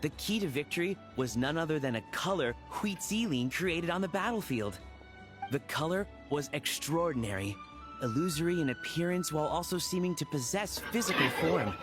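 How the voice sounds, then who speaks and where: Man voicing an earnest game researcher, polite lecturing tone, slightly high, lively inflection, faint music. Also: lightly acted. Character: earnest scholarly male researcher. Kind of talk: video game voice acting.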